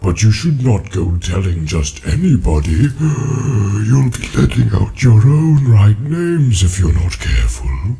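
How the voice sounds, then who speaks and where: An ancient, ponderous tree giant for a fantasy audiobook. The voice is low and very drawn-out, yet it swells and dips widely as it muses warily. A clear bed of ambient sound lies behind it. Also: male voice, sing-song, dark tone, exaggerated voice. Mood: measured, wary. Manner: slow, deliberate, drawn-out hums and musing repetitions. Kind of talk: audiobook character acting.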